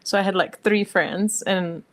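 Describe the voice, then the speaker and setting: A woman's voice: medium pitch, a little slow. A young woman from the Czech Republic with a Czech accent, a snowboarder chatting casually in an interview, full of "like" fillers. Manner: relaxed, chatty, many "like" fillers. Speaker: guest, professional snowboarder.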